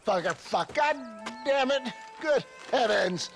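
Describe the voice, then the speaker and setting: A wounded American frontier huckster, wails in a very high, creaky voice. He is florid and melodramatic, weak with pain, with faint music behind him in a game cutscene. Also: animated, slow, a bit bright, exaggerated voice. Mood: pained, indignant. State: in pain, weakened. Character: wounded, theatrical, flowery-tongued old West swindler. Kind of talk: video game cutscene acting.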